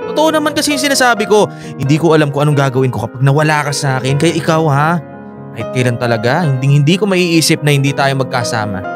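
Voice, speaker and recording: An actor playing Felix, a clingy young boyfriend in love, emotional and coaxing in a radio romance drama. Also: male voice, a little high, lively intonation, fast talker, music in the background, lightly acted. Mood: tender, pleading. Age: young adult.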